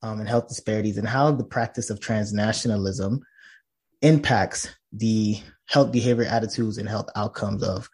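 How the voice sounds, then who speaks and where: A man's voice: fairly low pitch, moderate pace, darkish tone, slightly rough. A man from Nigeria, a professor guest in a conversational interview, explaining earnestly with many fillers and restarts.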